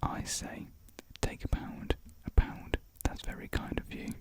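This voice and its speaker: A whispering reader voicing a flustered, polite English gentleman on the run. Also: unhurried, natural voice. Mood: plotting, polite. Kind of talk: ASMR whispered script reading.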